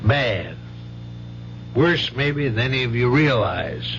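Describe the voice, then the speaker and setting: A folksy, fatherly country doctor in a vintage radio play. He is firm, kindly and plain-spoken, his mid-range voice heard through a muffled broadcast with clear hum and hiss. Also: medium pitch, animated, takes it slowly, fairly bright tone. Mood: grave. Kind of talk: 1970s radio drama acting.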